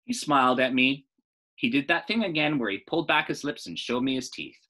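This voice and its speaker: A man in his thirties reading a children's book aloud as a storyteller, in an animated style. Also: medium pitch, a little fast, slight background noise.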